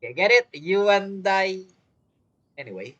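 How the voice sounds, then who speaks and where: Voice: mid-range voice, lively intonation, unhurried, fairly bright tone, muffled recording. A game streamer giving casual, informal live reaction commentary.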